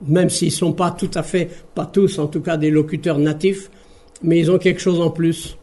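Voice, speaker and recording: A man from France giving a measured, reflective public talk to an audience. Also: on the high side, steady tone, dark tone. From France, Paris suburbs. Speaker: speaker giving an evening talk. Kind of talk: public talk on bilingual education.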